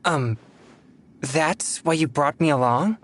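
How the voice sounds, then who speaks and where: A woman's voice: low-pitched, takes it slowly, a little hiss or hum. A woman playing an earnest, reserved and polite teenage boy in a video game, serious and a little hesitant. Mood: reluctant, exasperated. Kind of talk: video game character dialogue. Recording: game music behind the voice.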